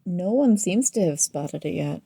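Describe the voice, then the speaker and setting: A woman's voice: on the low side, lively intonation, a little slow, gravelly, dark tone, slightly rough, no room sound, full character voice. A woman playing an easygoing, lovestruck space crew member in an audio comedy, casual and agreeable. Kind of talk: audio comedy drama dialogue.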